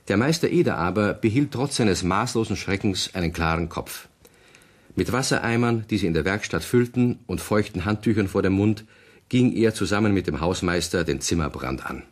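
A man playing the narrator of a children's radio play, telling the story in a measured, kindly way. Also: sits low.

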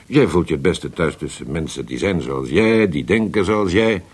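An actor playing a worldly, scheming Italian poet in a radio drama, with an Italian accent, a gravelly, husky voice and a theatrical, halting delivery sprinkled with Italian words. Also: male voice, low-pitched, expressive. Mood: expansive, proud.

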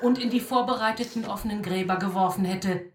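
A woman's voice: mid-range voice, natural voice. Echoey actress as a solemn female court interpreter. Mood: grave, solemn. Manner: measured, grave, formal. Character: female interpreter solemnly rendering a prosecutor's accusation. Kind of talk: solemn consecutive interpreting in radio drama. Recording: roomy, echoey, somewhat distant microphone.

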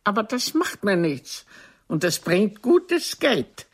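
An older actress playing a proud, wounded theatre diva in a German radio drama, with a haughty, theatrical and cutting delivery. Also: female voice, medium pitch, sing-song, takes it slowly, husky, exaggerated voice. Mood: haughty, boastful.

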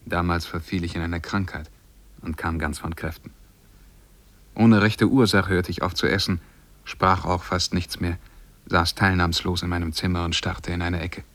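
An actor playing a lovesick, melancholy man who tells the story of his youth in a measured, mournful way, in 1950s German radio drama style. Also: sits low, little pitch movement, fairly bright tone. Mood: melancholy, narrating.

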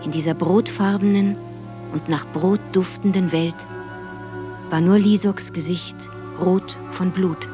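An actress playing a grown woman recalling her village childhood, narrating a radio drama in a measured, reflective way. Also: on the low side, steady tone, over music, natural voice.

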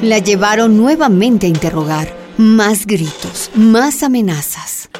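A woman's voice: mid-range voice, expressive, measured pace, a little mellow. A woman delivering dramatic scripted narration for a radio drama. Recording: music bed behind the voice.

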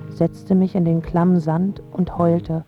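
A lovesick young woman confessing her story in a radio drama. Her voice is on the low side, plaintive and emphatic. It sounds a little muffled, with faint-to-clear music underneath. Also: flat delivery, slow pace. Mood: forlorn, bored. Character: lovesick, bewildered young woman from wealthy home.

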